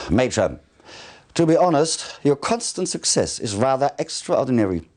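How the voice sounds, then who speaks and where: An actor playing a formal military commander, giving measured, deliberate mission briefings in a video game cutscene. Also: male voice, on the high side, darkish tone. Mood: formal, suspicious.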